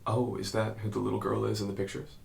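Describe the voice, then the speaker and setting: Casting: considerate podcast investigator, lowish voice with heavy fry, curious, softly probing, natural documentary-style audio drama delivery. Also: male voice, flat delivery, fast talker. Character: gentle, curious male podcast investigator interviewing a witness.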